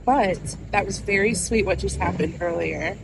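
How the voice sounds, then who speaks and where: A woman with a soft voice co-hosting a casual chat podcast, reacting with enthusiastic exclamations. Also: mid-range voice, normal speed, creaky. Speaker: podcast co-host. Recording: noisy background hiss.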